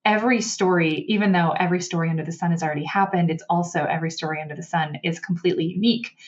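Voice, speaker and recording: A woman's voice: on the low side, steady tone, rapid-fire. A woman hosting an interview, talking in an encouraging, reflective, casual way. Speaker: podcast host. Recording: dull, slightly muffled audio.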